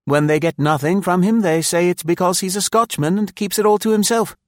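A man voicing a naive, excitable young British army officer in an audiobook, chattering eagerly in upper-class slang. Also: high voice, fairly quick, dry recording, clean studio sound, pitched above the performer's own voice, lightly acted. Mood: eager, confiding.

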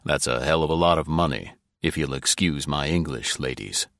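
A man's voice: rumbling low, normal speed, a bit bright, no echo, clean studio sound. A man voicing a blunt, impatient government chief who growls his orders, in a story reading. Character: gruff blustering male government chief.

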